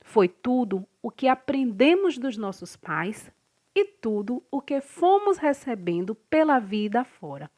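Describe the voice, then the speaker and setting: A woman reads definitions aloud for a lesson in a steady, explanatory way. Her voice sits a little high and her pitch rises and falls a lot. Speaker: narrator of definitions.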